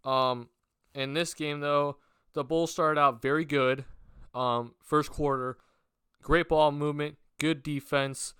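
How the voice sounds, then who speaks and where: A man's voice: fairly high pitch, steady tone, slow, a bit bright, smooth, dry recording, clean studio sound. Young male sports vlogger, sick with a cold, rambling and filler-heavy, clean resonant tone. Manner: rambling with many fillers and restarts. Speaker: basketball vlogger. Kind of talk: casual sports talk to camera.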